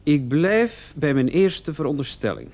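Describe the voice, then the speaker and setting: A man acting a shrewd, persistent police officer in a radio play, questioning a suspect in a measured, probing way. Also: on the high side, lively intonation, a little mellow. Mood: probing, pointed.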